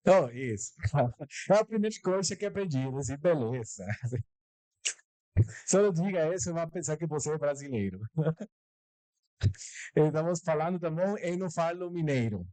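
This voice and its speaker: A man's voice: a little high, animated, normal speed, long pauses, warm tone, no room sound, poor audio. A man from Mexico whose first language is Spanish, giving a live talk at a corporate event with a Mexican Spanish accent. He jokes about himself, laughs often and slips Spanish words into his Portuguese. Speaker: guest speaker.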